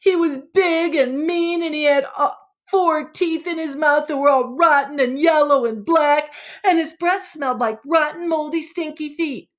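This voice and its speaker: A woman's voice: high-pitched, moderate pace, close to an everyday voice. A woman voicing a cocky boy who tells an action story in a blunt, slangy, sardonic way, as a storybook read-aloud. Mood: boastful, excited.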